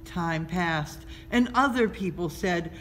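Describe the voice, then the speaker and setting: A woman reads a children's story aloud in a measured, expressive storytelling style, pausing between phrases. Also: fairly low pitch. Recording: noticeable background noise.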